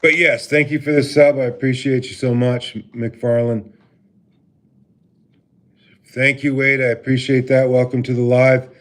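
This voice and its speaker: Man, deep voice, casual livestream host, halting delivery, laptop mic. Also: little pitch movement. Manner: halting, many filler pauses, reads out viewer names. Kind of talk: casual live stream chat with viewers.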